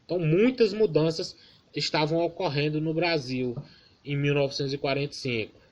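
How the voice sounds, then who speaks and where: Male student teacher, relaxed and chatty, slightly high voice, muffled lo-fi recording. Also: brisk. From Maranhão, Brazil. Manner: informal, addresses class as "galera", self-correcting. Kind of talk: online geography class.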